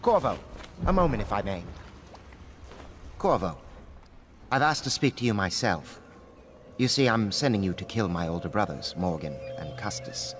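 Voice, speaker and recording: An actor playing a disdainful, scheming aristocrat in a video game, with a formal, deliberate, haughty delivery. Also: male voice, lowish voice, big pitch swings, faint music behind. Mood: bitter, confiding. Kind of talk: scripted game dialogue.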